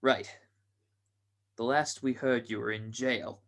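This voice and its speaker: A man's voice: medium pitch, steady tone, slow. A man playing an impatient gangster henchman in a radio crime drama, on a noisy recording.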